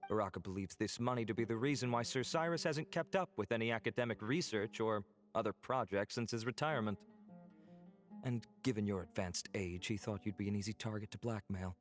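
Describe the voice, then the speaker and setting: An actor playing a dry, dutiful officer in a video game, reporting in a formal, measured way. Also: male voice, on the low side, faint music behind, lightly acted. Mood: matter-of-fact.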